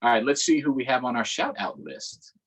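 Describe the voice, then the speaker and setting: Children's storytime host: American man, husky mid-range voice, upbeat, casual and conversational. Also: medium pitch, big pitch swings, brisk. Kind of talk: casual livestream talk to children.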